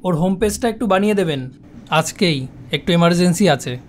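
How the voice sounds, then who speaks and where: High-pitched, pressing office manager acted by a man. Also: lively intonation, brisk, a little hiss or hum. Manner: businesslike, formally polite but pressing. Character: brisk, demanding male office manager. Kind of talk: cartoon voice acting.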